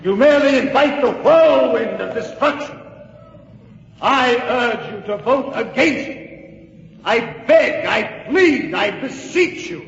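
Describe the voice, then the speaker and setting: High-voiced, slow, pleading colonial orator. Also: male voice, animated, gravelly, fairly bright tone, heavily acted. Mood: pleading, urgent. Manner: grave, oratorical, deliberate. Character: impassioned colonial delegate pleading against independence. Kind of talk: golden age radio drama oratory. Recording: dull old radio recording, echoing hall.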